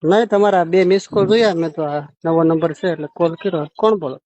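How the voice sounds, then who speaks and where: A man's voice: on the high side, fast talker, darkish tone, no echo. A man chatting casually on a phone call, colloquial and full of questions. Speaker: phone caller. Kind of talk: casual private phone chat. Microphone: phone call recording.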